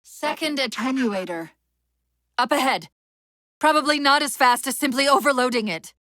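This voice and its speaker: A woman voicing an urgent, clever military AI companion in a video game, wry and earnest, giving crisp tactical briefings with an undercurrent of strain. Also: high-pitched, normal speed, sharp tone, dry recording, studio quality. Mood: focused briefing.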